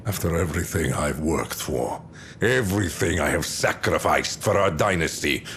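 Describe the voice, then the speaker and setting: A man's voice: very deep voice, animated, moderate pace, fairly bright tone, faint music behind, heavily acted. An actor playing an imprisoned male heir who talks in a brooding, wry, resigned way.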